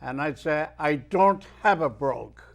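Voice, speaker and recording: An elderly Irish man with a fairly high voice speaks slowly and with care. He tells stories in an unhurried way, then recites verse with swelling emphasis. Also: animated, gravelly. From Ireland. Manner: measured storyteller who declaims verse with swelling emphasis. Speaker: guest, retired merchant mariner and poet. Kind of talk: unhurried storytelling and poetry recitation.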